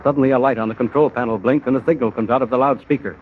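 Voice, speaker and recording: A man's voice: mid-range voice, fairly even intonation, very fast, close to an everyday voice. A man narrating a serial as announcer, dramatic and declamatory in 1940s radio style. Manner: emphatic, declamatory. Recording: tinny noisy 1940 radio recording.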